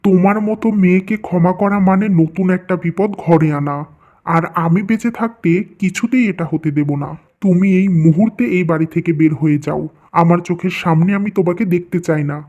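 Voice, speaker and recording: A man's voice: high-pitched, moderate pace, a little mellow. A man playing stern male figures of a village family cartoon, firm and melodramatic, in dull lo-fi audio. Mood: angry, reproachful. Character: stern husband and demanding merchant, cartoon men.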